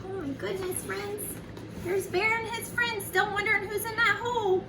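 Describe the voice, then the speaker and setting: High sing-song woman storyteller, roomy distant recording. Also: steady tone, moderate pace. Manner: sing-song, addresses young children directly as friends. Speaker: children's storyteller, early childhood educator. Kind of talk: read-aloud picture book with chatty asides to children. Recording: roomy, distant mic, faint background noise.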